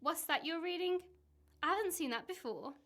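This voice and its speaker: A woman's voice: very high voice, expressive, normal speed, a bit bright, heavily acted. A woman in an amateur radio play acting a suspicious, exasperated wife, accusing and sarcastic.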